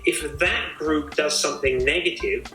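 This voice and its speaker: Bright-voiced man explaining ideas. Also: a little high, moderate pace, echoey room, faint music behind. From Australia. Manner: thoughtful, explains ideas at length. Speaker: host. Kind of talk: casual conversation on a video chat.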